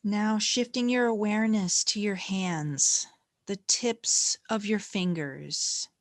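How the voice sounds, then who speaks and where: A woman leading a guided meditation in a gentle, calm, unhurried manner with long pauses between phrases. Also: medium pitch, no echo, clean studio sound.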